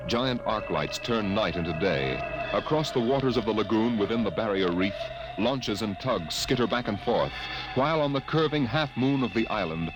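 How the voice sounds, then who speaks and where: Low-voiced dramatic radio narrator man. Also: low-quality recording. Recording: old radio broadcast with music bed.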